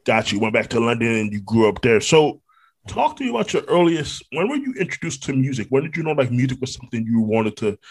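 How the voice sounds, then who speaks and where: A man's voice: mid-range voice, very animated, fast talker, breathy edge. A man from Brooklyn, New York, hosting a podcast, asking questions in a friendly, rambling, casual way. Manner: friendly, rambling, many restarts. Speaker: podcast host. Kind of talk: casual interview chat.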